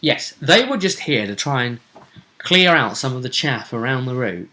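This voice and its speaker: A man's voice: medium pitch, animated, moderate pace, sharp tone. A man casually recapping a fantasy role-play tournament as host, often hesitating and repeating phrases as he recounts it.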